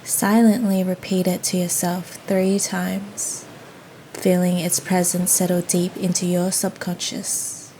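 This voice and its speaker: A woman leading a guided yoga nidra meditation in a soothing, gentle, unhurried manner. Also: on the low side, rough recording. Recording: faint steady ocean noise behind. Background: ocean waves ambience.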